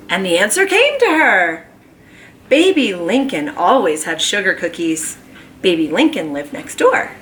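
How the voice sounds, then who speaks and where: A woman who teaches kindergarten, reading a children's book aloud at home in an animated, expressive way. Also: medium pitch, a little hiss or hum. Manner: animated, slow and expressive storytelling.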